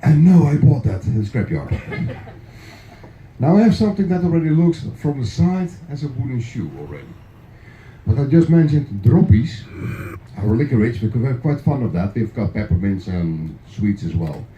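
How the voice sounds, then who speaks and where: A middle-aged Dutch-accented man demonstrates his craft, cracking jokes and chuckling between remarks. His voice is very dark and his pitch swings lively. The recording is echoey and a bit dull, as in a workshop. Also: normal speed, slight background noise. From Netherlands. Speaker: clog maker. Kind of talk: live craft demonstration for visitors.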